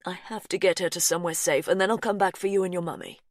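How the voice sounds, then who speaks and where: A woman's voice: lowish voice, steady tone, quick pace, no room sound, studio quality, natural voice. A woman reading the lines of an anguished man pleading with his family, in an earnest, urgent manner. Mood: gentle.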